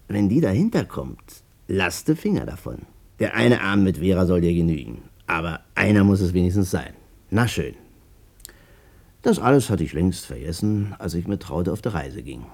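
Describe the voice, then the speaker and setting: Chatty, husky, lively Berlin storyteller. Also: male voice, fairly low pitch, exaggerated voice. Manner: colloquial, animated, self-justifying. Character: chatty, cocky Berlin businessman telling his amorous past. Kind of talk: 1950s German radio drama, storytelling monologue.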